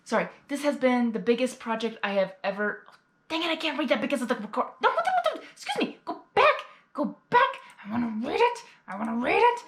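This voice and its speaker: A woman's voice: high-pitched, big pitch swings, quick pace. Roomy-sounding woman reacting casually. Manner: rambling, exclamatory, repeats phrases. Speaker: reaction video host. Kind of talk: casual emotional reaction commentary. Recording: roomy sound with faint music. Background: faint music.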